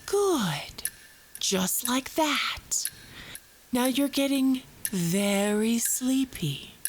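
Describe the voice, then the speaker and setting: A woman plays a calm female psychologist with a slow, measured delivery. She coaxes and soothes like a hypnotist, controlled and repetitive, in an audio drama. It all sits on an old cassette recording with clear hum and noise. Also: on the high side. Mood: hypnotic. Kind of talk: audio drama therapy session.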